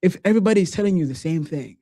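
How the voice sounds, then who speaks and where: A man hosting a podcast, chatting casually in a relaxed, slangy way and often saying "bro". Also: high voice, rapid-fire, dry recording. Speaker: podcast host.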